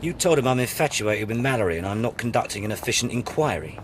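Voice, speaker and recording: An actor playing a hard, sardonic detective inspector in a radio drama, needling and sarcastic, with a husky voice. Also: male voice, medium pitch, lively intonation, quick pace, noisy background, natural voice. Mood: coldly vindictive.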